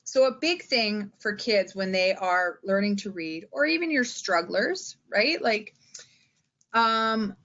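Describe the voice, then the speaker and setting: A woman's voice: medium pitch, lively intonation, slow, fairly bright tone, lo-fi sound. A woman speaking as a teacher in an informal instructional talk, with an emphatic, theatrical delivery that often checks in with the listener. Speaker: schoolteacher giving reading-aloud tips.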